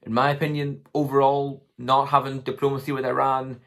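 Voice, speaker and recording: A man in his twenties with a slightly hoarse voice, talking casually and tentatively to camera as he thinks through his commentary. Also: medium pitch, level tone, brisk, fairly bright tone. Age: 20s. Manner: tentative, hedging, thinking aloud. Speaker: political commentary vlogger. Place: small room at home, indoors.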